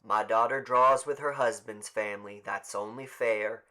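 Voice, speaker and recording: A man's voice: lowish voice, fairly even intonation, normal speed, sharp tone. A man voicing a resigned village husband and father in an audiobook, speaking plainly and regretfully.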